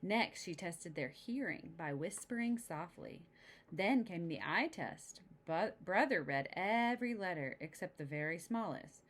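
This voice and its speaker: A woman with a slightly low voice reads a children's storybook aloud. She slips into chatty asides, explaining things simply for young listeners. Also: expressive, a little slow, slight background noise. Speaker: storybook reader.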